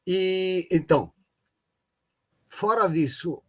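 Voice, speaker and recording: Man, unhurried, deliberate, guest on an online panel. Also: sits high, fairly bright tone. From Brazil. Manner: slow, deliberate, emphatic. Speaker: guest professor. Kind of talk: live online panel talk.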